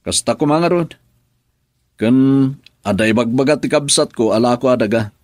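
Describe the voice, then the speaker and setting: An actor plays a troubled young husband in a radio drama, a man with an Ilocano accent. He talks hesitantly and musingly at a middling pitch, in a very dry, close sound. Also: moderate pace, dry recording, close to an everyday voice. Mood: friendly, respectful. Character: troubled young married man confiding in an elder. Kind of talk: Filipino radio drama acting.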